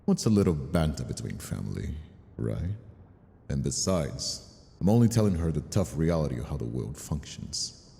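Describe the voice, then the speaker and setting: A man acts out a menacing mobster in an audio roleplay, with a bottomless, dark voice full of gravel. He mocks and condescends, drawing out his words like a villain who enjoys his power. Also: a bass voice, very animated, normal speed, exaggerated voice. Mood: mocking. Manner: taunting, condescending, drawling. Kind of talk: dramatic audio roleplay acting.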